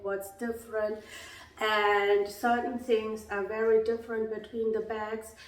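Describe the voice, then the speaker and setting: A woman vlogger with a husky voice, chatting casually and hesitantly with many ums as she compares two handbags. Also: medium pitch, a little mellow. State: husky from a lingering illness. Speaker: beauty vlogger. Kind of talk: casual bag comparison vlog. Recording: roomy sound from a distance.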